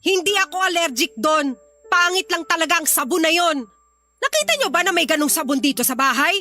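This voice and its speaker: Casting: nagging older widowed mother-in-law for radio drama, very high, sharp voice, domineering. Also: expressive, brisk, full character voice. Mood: irritated, scolding. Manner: scolding, complaining. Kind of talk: Filipino radio drama acting.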